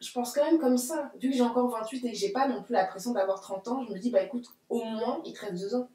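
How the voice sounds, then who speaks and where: A woman's voice: fairly high pitch, quick pace, dark tone. A woman in her late twenties, a guest in a casual discussion, who talks reflectively in long runs. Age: late 20s. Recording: roomy echo.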